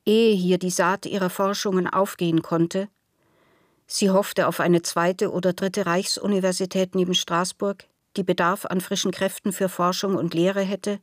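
Low-voiced woman narrator, dry sound. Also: fairly even intonation, unhurried, dry recording. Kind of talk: scripted literary narration for a radio play.

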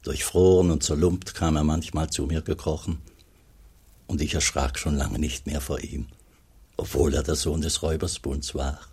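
Very deep, husky old monk. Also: male voice, steady tone, a little mellow, exaggerated voice. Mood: somber, reflective. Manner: measured, solemn storytelling. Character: aging pious monk recalling his poor mountain boyhood. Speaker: narrator. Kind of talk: scripted literary radio drama narration.

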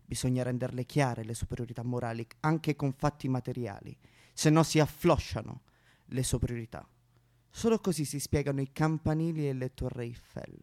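A man's voice: medium pitch, moderate pace, darkish tone, natural voice. A male actor playing a bitter, self-loathing misanthropic man in a histrionic theatrical comic monologue. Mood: scornful. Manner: histrionic, swinging from muttering to outbursts with spitting noises. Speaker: stage monologue performer.

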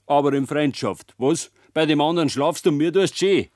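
An actor playing a bitter, stubborn young farmer's son in a radio drama, brooding and accusing in Bavarian dialect. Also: male voice, fairly high pitch, normal speed, darkish tone, no room sound, studio quality, close to an everyday voice.

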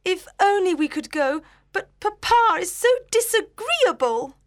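A man's voice: very high-pitched, animated, measured pace, a bit bright, pitched above the performer's own voice, full character voice. A male narrator voicing a silly, giddy teenage girl who talks in a whiny, melodramatic way. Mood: whiny drama.

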